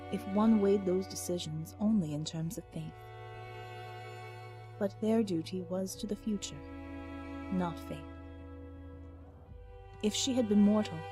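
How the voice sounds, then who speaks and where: Slow, solemn woman narrating over music. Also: medium pitch. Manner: solemn, measured, dramatic delivery. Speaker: audiobook narrator. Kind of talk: dramatic scripted story narration.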